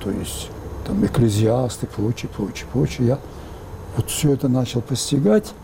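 An older man from Baku, raised speaking both Azerbaijani and Russian, thoughtfully recalling the past in an interview with many pauses and fillers. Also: mid-range voice, big pitch swings, noisy background. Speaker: interview guest. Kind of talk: reflective interview talk.

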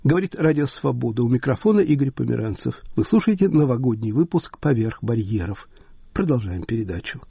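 A man presenting and interviewing on radio from a studio, with a measured announcing manner and long questions. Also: mid-range voice, expressive, vocal fry, mellow, slightly rough, dry recording, soft background music. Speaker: radio host.

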